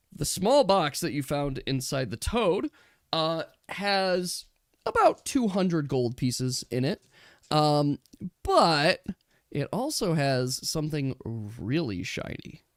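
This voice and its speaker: A man working as game master, narrating a tabletop game casually and thinking aloud in a halting way. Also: on the high side, big pitch swings, dry recording, clean studio sound.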